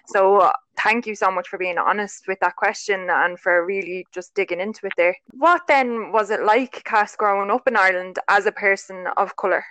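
A woman hosting a podcast interview, asking gently probing questions in a supportive way. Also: medium pitch, no echo. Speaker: podcast host. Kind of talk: interview conversation.

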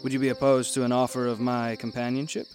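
An actor playing a kindly, unflappable gentleman traveller, polite and eloquent, in a radio drama. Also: mid-range voice, flat delivery, fast, soft background music, natural voice. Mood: gentle, persuasive.

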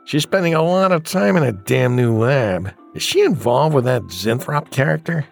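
An actor playing a sardonic, gossipy male corporate colleague with a dry, brisk manner in a campy audio drama. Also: mid-range voice, lively intonation, creaky, soft background music. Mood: sardonic, sneaky.

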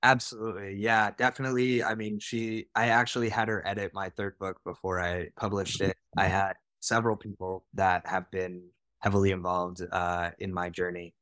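This is a young man in his twenties with a somewhat low voice. He tells his story as a podcast guest, quick and casual, and he keeps dropping in "like" and "right?". The recording is dry and close. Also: little pitch movement, a bit bright, dry recording. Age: 20s. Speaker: podcast guest, real estate director. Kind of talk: conversational storytelling.

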